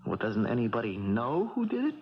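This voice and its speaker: A man's voice: medium pitch, wildly swooping pitch, brisk. A man playing a confident, eager young American boxer with casual, colloquial speech in a 1970s radio drama. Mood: curious. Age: young adult. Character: Bobby Barlow, cocky young boxer, eager and plainspoken. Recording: dull 1970s radio broadcast.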